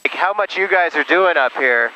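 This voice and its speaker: A man's voice: on the high side, lively intonation, moderate pace. A man chatting in an easygoing, folksy way as an interview guest over an aviation headset in a small plane. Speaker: air traffic controller guest flying the plane. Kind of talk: casual interview chat. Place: small airplane cockpit in flight. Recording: muffled headset audio in loud engine noise.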